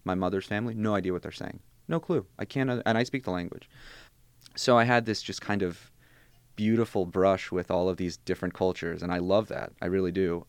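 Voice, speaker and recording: Grad student, twenties, native Bosnian speaker, low gravelly voice, thoughtful and wry. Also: male voice, brisk, dry recording. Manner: thoughtful, restarts phrases, wry asides. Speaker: interviewee, graduate student. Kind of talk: conversational interview.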